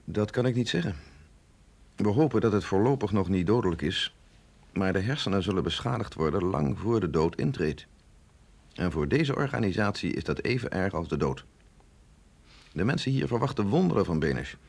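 Gezaghebbende dokter met krakende stem. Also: male voice, low voice, lively intonation, darkish tone, husky, exaggerated voice. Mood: grave. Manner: measured, explanatory, authoritative. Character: authoritative male doctor at a secret research institute, patient and grave. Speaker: doctor. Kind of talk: radio drama acting.

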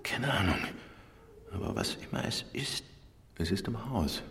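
Composed amateur detective, lowish voice, expressive intonation, assured, radio thriller, noisy backdrop. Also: male voice, measured pace, exaggerated voice. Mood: hushed, intrigued. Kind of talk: German radio drama acting. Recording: studio radio play with clear background noise.

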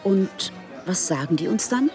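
A woman's voice: mid-range voice, animated, unhurried, darkish tone, soft background music, natural voice. A woman playing a businesslike police detective around forty in a radio drama, dry, formal and matter-of-fact. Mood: stern, insistent. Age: 40s.

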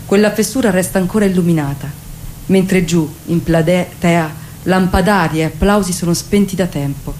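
Radio monologue: actress as a weary, haunted insomniac woman, sombre and mellow, slight background noise. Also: fairly low pitch, moderate pace, close to an everyday voice. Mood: brooding. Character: weary, sleepless woman haunted by fear and memory. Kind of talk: literary monologue reading for radio.

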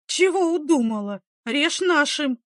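A superstitious middle-aged village mother, put on by a woman storyteller. She is shrill, hysterical and accusing, crying out high above an everyday pitch in a dry audiobook recording. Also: animated, a little slow, no echo. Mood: outraged. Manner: shrill, shouting, wailing.

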